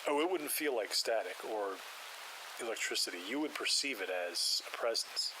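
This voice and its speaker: A man playing a calm, skeptical paranormal investigator who explains things in a measured, clinical way, with rain and hiss behind him. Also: mid-range voice, close to an everyday voice. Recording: loud rain noise and hiss.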